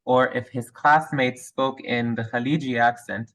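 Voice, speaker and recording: A man's voice: medium pitch, steady tone, unhurried, fairly bright tone. A male teacher with a Lebanese accent, answering interview questions thoughtfully with frequent fillers and restarts. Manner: hesitant, many fillers and restarts.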